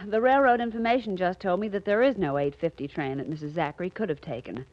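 A woman playing a pert, inquisitive detective's assistant in a 1940s radio drama, brisk and wry. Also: lowish voice, no room sound, lightly acted. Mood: pert, curious. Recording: muffled 1940s radio recording.

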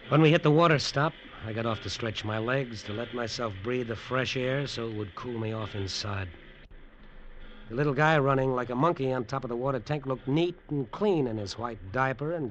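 An actor playing a cocky, scheming American detective in a tough, clipped, sardonic 1950s radio noir style. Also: male voice, mid-range voice, muffled recording, natural voice. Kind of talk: 1950s radio noir acting, first-person narration and dialogue. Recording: noisy old radio drama recording.

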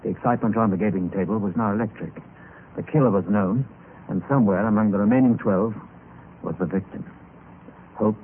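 A man acting as a grave gentleman narrator in an old radio mystery, telling the tale in a measured, dramatic way. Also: lowish voice, natural voice. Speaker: narrator and lead character. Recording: muffled, noisy old radio recording.

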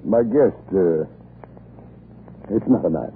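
Flustered British husband, swooping stammer. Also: male voice, low-pitched, animated, slow, heavily acted. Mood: flustered, evasive. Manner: stammering, hemming and hawing. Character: flustered, blustering upper-class husband hiding a secret. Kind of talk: 1930s radio drama acting. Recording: noisy, tinny 1930s radio broadcast.

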